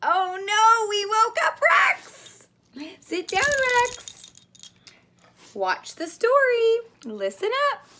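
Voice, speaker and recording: A woman with a high, bright voice reads a children's storybook aloud at a very slow pace. She is expressive and sing-song, stretching out words as a preschool teacher does for little listeners. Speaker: daycare teacher reading a picture book to young children.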